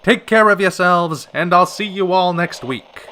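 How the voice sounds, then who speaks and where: A man playing a flamboyant, excitable old-time radio host with theatrical, polished announcer delivery. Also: high voice, unhurried, a little hiss or hum. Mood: polished announcing. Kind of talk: 1940s radio acting.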